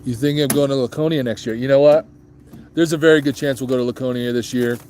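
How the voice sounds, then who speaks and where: A man's voice: medium pitch, steady tone, fast talker, a little mellow, a little hiss or hum. A man hosting a casual motorcycle vlog, chatting loosely with viewers and answering their questions, with plenty of ums and restarts. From grew up moving around as an Army child. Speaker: motorcycle vlog host reading live chat.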